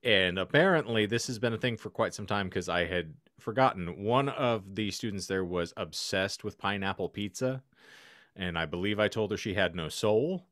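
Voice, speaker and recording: A man's voice: fairly low pitch, normal speed, fairly bright tone, dry recording. A man co-hosting a casual podcast, bantering in a dry, hesitant way with mock-formal phrasing. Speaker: podcast co-host. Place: indoor home room, remote video call.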